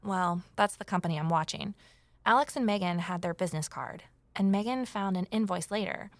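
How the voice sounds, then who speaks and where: A woman's voice: fairly low pitch, a little fast, no room sound, natural voice. A woman playing an earnest, anxious amateur sleuth who acts and narrates in an audio drama in a confiding, intense way. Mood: earnest, intent. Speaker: lead character and first-person narrator. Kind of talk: full-cast audio drama acting and narration.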